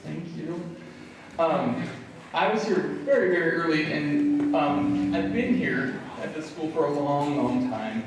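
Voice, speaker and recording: A man speaking as a school dean, giving informal welcome announcements in measured short phrases with pauses. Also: fairly high pitch. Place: school performance space with live audience. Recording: very echoey, noisy room, distant microphone.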